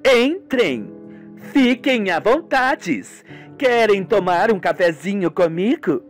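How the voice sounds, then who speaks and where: Man doing a high-voiced, defensive young woman. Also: very animated, takes it slowly, soft background music, heavily acted. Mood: surprised. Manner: startled, questioning. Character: young wealthy female ranch owner, defensive, flustered.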